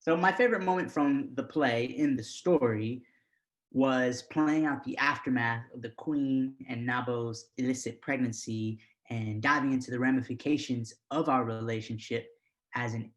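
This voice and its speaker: A man's voice: mid-range voice, poor audio. A man, an actor from the United States, reflecting in an unscripted interview.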